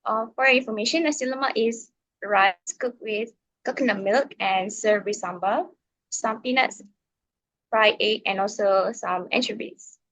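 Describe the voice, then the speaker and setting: A young woman from northern Malaysia with a Malaysian accent, a guest on a casual podcast, answering in a relaxed way with frequent pauses and ums. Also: medium pitch, low-quality recording. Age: young adult.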